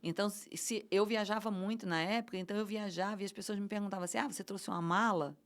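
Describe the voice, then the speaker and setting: A woman's voice: medium pitch, fairly even intonation, fast. A middle-aged Brazilian woman speaking Portuguese as an interview guest, passionate and emotional, stumbling over restarts and fillers. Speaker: guest, event producer. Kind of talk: casual conversational interview.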